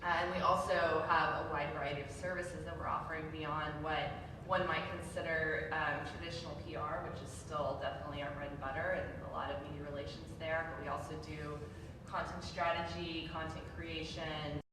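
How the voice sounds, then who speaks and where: A woman's voice: low-pitched, little pitch movement, a little fast, few pauses. A woman in her forties with a drawl, chatty and upbeat, introducing herself as a PR agency head on a career panel. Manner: chatty, upbeat, many fillers. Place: university event room. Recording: echoey hall from far off, noisy.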